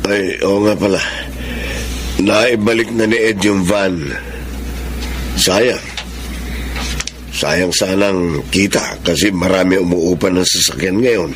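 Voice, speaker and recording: A conciliatory, wheedling middle-aged suitor. His voice is low and apologetic, radio drama acting over clear background noise. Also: steady tone, slow, long pauses. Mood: coaxing. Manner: apologetic, coaxing. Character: conciliatory, wheedling older widower-type suitor and father.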